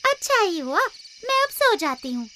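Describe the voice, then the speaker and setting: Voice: very high voice, big pitch swings, normal speed. A voice actor playing a small, sweet, polite young female cartoon bird in a children's story, childlike and earnest. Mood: cheerful, tired. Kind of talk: children's animated moral story dialogue. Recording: children's music loud behind the voice. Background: children's music.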